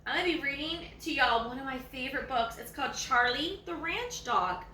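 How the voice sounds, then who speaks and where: A woman's voice: high-pitched, animated, fairly quick, bright, forceful tone, distant mic. A woman with a Southern US accent reading a children's story like a teacher, explaining and asking the young listeners questions. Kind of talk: children's story read-aloud. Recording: echoey room, faint background noise.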